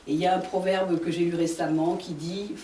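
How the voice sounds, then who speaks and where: A woman's voice: on the low side, level tone, a little fast, dark tone, a little hiss or hum. A woman in her fifties, a business owner, speaking in a composed, determined way. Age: 50s. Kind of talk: personal testimony. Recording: echoey distant mic.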